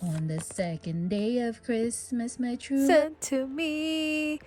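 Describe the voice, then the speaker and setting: A woman reading a children's Christmas verse aloud in a sing-song, drawn-out, rhythmic way. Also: on the high side, darkish tone. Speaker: children's storybook reader. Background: background music.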